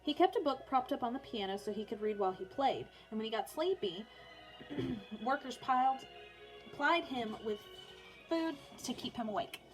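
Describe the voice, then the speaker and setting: A woman's voice: fairly high pitch, lively intonation, normal speed, soft background music, low-quality recording. A woman teacher reading a children's biography aloud for online learners, in storybook narration with informal, chatty asides.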